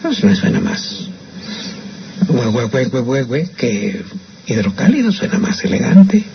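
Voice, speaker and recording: An older Mexican man talks in a loose, opinionated way over a slightly muffled radio line. His voice sits at an ordinary pitch. He often restarts his phrases, and a faint hiss runs underneath. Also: big pitch swings, unhurried. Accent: Mexican Spanish. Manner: chatty, opinionated, with frequent restarts. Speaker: radio host and storyteller. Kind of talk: casual radio commentary.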